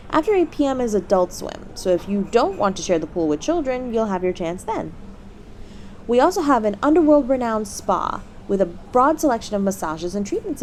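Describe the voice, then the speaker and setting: A woman playing a cheery, courteous demon receptionist who pitches a resort to a guest in a scripted audio roleplay. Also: a little mellow, slight background noise. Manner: bubbly, courteous customer-service patter. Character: cheery demon hotel receptionist, eager and polite, salesy.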